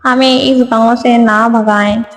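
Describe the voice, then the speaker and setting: A woman's voice: fairly high pitch, fairly even intonation, slow pace. A woman playing a humble, honest, apologetic village husband in a Hindi moral-story cartoon. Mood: pleading. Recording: long reverb, music behind.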